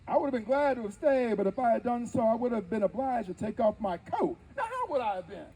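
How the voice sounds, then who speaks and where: A man playing a dignified, wry nineteenth-century preacher, telling his story in emphatic theatrical oratory with deliberate dramatic pauses. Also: very high-pitched, very animated, darkish tone, slight background noise, heavily acted, poor audio. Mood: earnest, proud. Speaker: live history interpreter. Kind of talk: theatrical first-person oratory before an audience.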